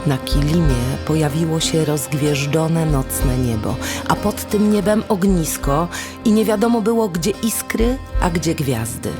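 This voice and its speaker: Woman storyteller reading a folk tale, slow n lyrical, music behind her. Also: sits low, animated. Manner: lyrical, measured phrasing. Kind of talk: literary folktale narration.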